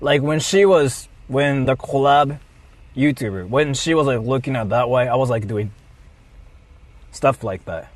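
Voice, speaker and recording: A man livestreaming a mock apology to his viewers, speaking in deliberate, halting short phrases. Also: medium pitch, dry recording.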